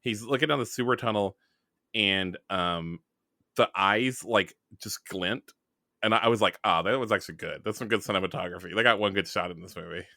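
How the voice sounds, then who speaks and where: A man's voice: lowish voice, brisk, vocal fry, pushed and bright, no room sound, studio quality. A man hosting a podcast, chatting casually with a wry, joking manner. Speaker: podcast host. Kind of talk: casual scripted-game podcast introduction and chat.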